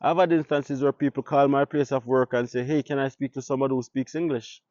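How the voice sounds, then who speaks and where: A man's voice: mid-range voice, steady tone, fast, a little mellow, no echo, dull audio. A Jamaican man, a native Patois speaker, talking casually to his viewers and putting rhetorical questions to them. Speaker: vlogger. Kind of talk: casual talk to camera.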